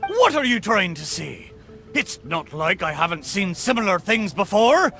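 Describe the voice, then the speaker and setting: A man's voice: high voice, big pitch swings, measured pace, vocal fry, sharp tone, soft background music, full character voice. A man voicing a boastful, good-humored spirit of an old pirate ship in a video game, talking in hearty, swaggering pirate style. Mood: defensive. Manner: hearty, bragging, swaggering pirate talk with "arr". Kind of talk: video game character acting.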